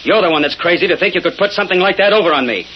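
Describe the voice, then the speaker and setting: An angry, blustering swindler with a highish voice, threatening in old radio style. The recording is muffled and noisy. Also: male voice, lively intonation, rapid-fire. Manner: blustering, forceful talk. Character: blustering male crooked stockbroker, angry and threatening. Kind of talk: 1930s radio acting.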